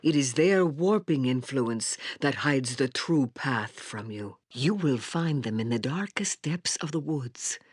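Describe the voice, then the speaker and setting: Slow, husky old village elder. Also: female voice, very deep voice, expressive, a little mellow, no echo, studio quality, exaggerated voice. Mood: grave warning. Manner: slow, solemn, halting, courteous. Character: grave, kindly old female village elder and magic teacher. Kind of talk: fantasy video game voice acting.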